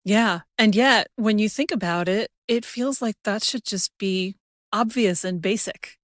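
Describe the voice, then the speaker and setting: Woman, American accent, podcast host, casual conversational delivery, medium pitch, dry studio-like sound. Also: a little slow, no echo.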